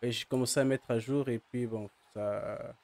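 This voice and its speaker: A man's voice: medium pitch, steady tone, normal speed, gravelly, darkish tone. Flu-ridden man calling a match live. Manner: hesitant, many "euh" fillers and restarts. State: has the flu, voice not at its best. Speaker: fan live-streamer commentating a match. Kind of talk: casual live match commentary with chat.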